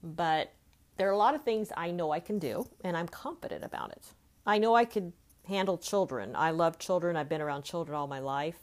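Low-pitched woman in her late fifties. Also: brisk. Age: late 50s. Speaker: life coach and podcast host. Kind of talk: informal motivational podcast monologue.

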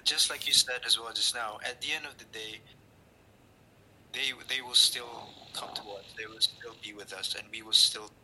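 A man's voice: medium pitch, steady tone, normal speed, bright, forceful tone, poor audio. A man speaking as a podcast guest, hesitant, often stopping to search for words. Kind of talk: casual chat. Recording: faint background noise.

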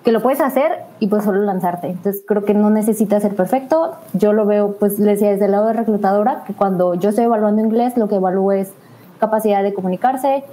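A woman's voice: mid-range voice, fast, low-quality recording. A woman from Mexico with a Mexican accent, a recruiter talking casually in a panel discussion and acting out quoted people. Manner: chatty, quotes people in lively asides.

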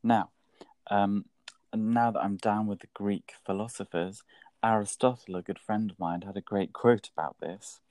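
A man's voice: lowish voice, steady tone, normal speed, a little mellow, no echo. A man co-hosting a workplace podcast, chatting casually with an enthusiastic, jokey manner. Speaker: podcast co-host.

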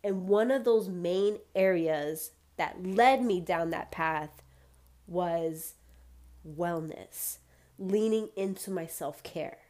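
A woman hosts her solo podcast at an unhurried, deliberate pace. Her voice is a little congested and wheezy, and she encourages listeners directly in a warm-hearted motivational tone. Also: on the low side. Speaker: podcast host.

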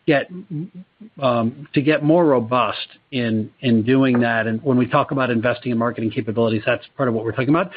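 A man speaking as a company executive answering questions on an earnings call, in a measured way with repeated words. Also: medium pitch, dry recording. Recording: dull, dry conference call audio.

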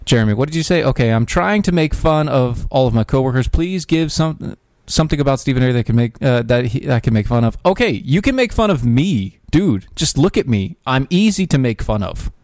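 A man's voice: mid-range voice, expressive, brisk, a little mellow, no echo, studio quality. A man hosting a podcast, giving casual political commentary in a sarcastic, ranting way with frequent restarts and fillers. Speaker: podcast host.

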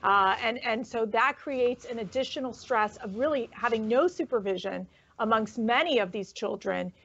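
A woman's voice: a little high, moderate pace, fairly bright tone, husky. A woman co-hosting a panel discussion, affirming and conversational. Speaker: co-host. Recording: muffled lo-fi audio.

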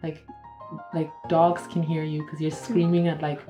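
A young woman co-hosting a casual podcast in Ireland, chatty and quick to laugh, explaining things at length. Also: low voice, level tone, mellow, soft background music. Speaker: podcast co-host. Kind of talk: casual chat. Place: room in Ireland.

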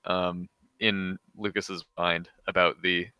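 A man's voice: low voice, little pitch movement, slow pace, sharp tone, clean tone, no room sound. A man co-hosting a podcast in casual conversation. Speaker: podcast co-host. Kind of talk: casual chat.

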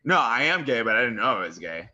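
A man's voice: medium pitch, fast talker, highs cut off, crowd noise. A young man with a lisp co-hosting a podcast, chatting casually, swearing and laughing a lot. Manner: profane, laughing, animated. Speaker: podcast co-host. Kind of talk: casual chat.

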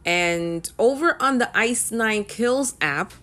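A woman's voice: on the high side, expressive, slow, fairly bright tone. A young woman talking casually to camera as a music vlogger, rambling with frequent ums and uhs.

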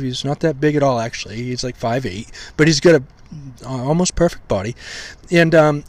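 A man hosting a podcast, teaching scripture in an informal way with a slightly hoarse, weak voice, full of pauses, ums and restarts while he tries to enunciate carefully. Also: fairly high pitch, no room sound. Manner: halting, many ums and restarts, deliberate enunciation.

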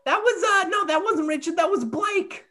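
A woman acts out a feisty old grandmother with an extremely high voice. She rambles through stories and gets indignant and scolding, in the manner of an improvised comedy interview. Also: normal speed, sharp tone, soft background music. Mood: deadpan storytelling. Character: feisty, rambling old grandmother named Mary, defensive and dramatic.

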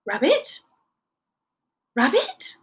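A woman voicing an earnest, excitable teddy bear who keeps the peace in a children's story, coaxing and urgent. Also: high voice, drawn-out words, voice pushed up, exaggerated voice. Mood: concerned.

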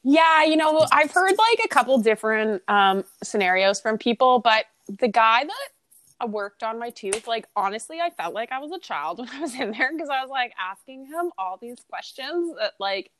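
A woman's voice: fairly high pitch, lively intonation, moderate pace, fairly bright tone, dry recording. Cheerful, giggly Canadian woman. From Ontario, Canada. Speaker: podcast co-host. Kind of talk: casual chat.

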